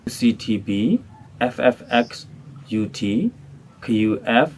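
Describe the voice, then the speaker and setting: A man's voice: mid-range voice, expressive, takes it slowly, a little mellow. An English-speaking man from Thailand, making a formal, careful prepared appeal as a channel creator. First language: not English. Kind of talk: prepared spoken appeal to camera.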